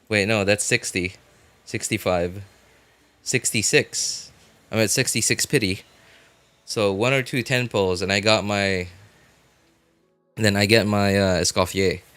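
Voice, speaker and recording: Male game streamer, lower-pitched, casual and informal, thinks out loud to chat over music. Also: no room sound.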